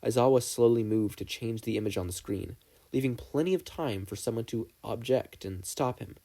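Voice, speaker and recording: A man's voice: mid-range voice, moderate pace, warm tone. A man narrating a story as a reader in a scripted audiobook style. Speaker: podfic narrator.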